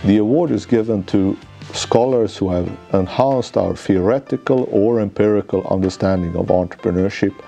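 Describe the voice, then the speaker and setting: Deep-voiced man, formal and measured. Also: creaky, dark tone. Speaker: managing director, economics professor. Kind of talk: scripted corporate presentation. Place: office lounge, indoors. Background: faint background music.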